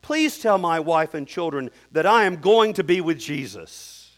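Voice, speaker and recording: A man putting on the voice of a joyful condemned prisoner, speaking excitedly in a raised voice. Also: fairly high pitch, a little slow. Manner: excited, exclamatory.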